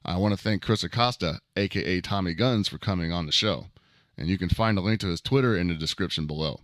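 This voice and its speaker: A man hosting an interview show, speaking calm and measured as he thanks his guest and closes the show. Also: low-pitched, dry recording, clean studio sound. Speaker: host.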